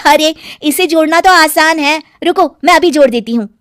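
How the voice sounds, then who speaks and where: A woman's voice: sits high, normal speed, a bit bright, no room sound, studio quality, voice pushed up. A woman voicing a kind, innocent young village woman, earnest and concerned, in a cartoon story. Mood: helpful.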